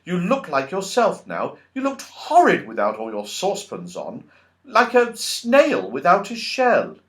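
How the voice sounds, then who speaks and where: Earnest storybook schoolgirl acted by a man, raised pitch, emphatic, lively rise and fall. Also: a little slow, heavily acted. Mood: pleased. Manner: emphatic, exclaiming. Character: earnest young girl, caring but easily exasperated. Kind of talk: children's storybook character voice.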